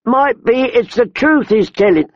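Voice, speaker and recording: A man voicing a tall, lean, hard outlaw woman, shrewd, rough and scornful, in an audiobook story. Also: very high-pitched, lively intonation, a little slow, no room sound, dull audio, voice pushed up, exaggerated voice.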